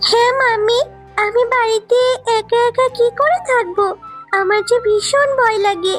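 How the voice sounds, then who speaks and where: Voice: very high voice, unhurried, fairly bright tone, faint music behind. A performer voicing a sweet, tearful orphaned little girl in a children's cartoon, plaintive and pleading. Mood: sad, frightened.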